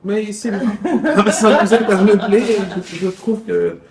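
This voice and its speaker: A man's voice: high-pitched, takes it slowly, over a noisy crowd. A man hosting a casual interview podcast, with many hesitant fillers between his questions. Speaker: podcast host. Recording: echoey room, mic at a distance.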